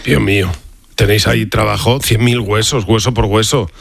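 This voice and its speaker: A male host with a Castilian Spanish accent and a fairly low voice. He presents conversationally and emphatically, in a dry, close studio sound. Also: steady tone, normal speed, creaky, dry recording. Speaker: radio host.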